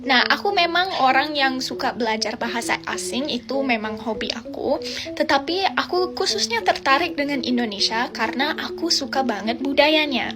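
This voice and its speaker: A young woman, an English speaker, talks to her followers in a chatty, explaining way. Her voice sits high and bright in pitch. A clear music bed plays under her words. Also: expressive, normal speed. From Australia. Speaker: vlogger. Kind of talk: casual talk to camera.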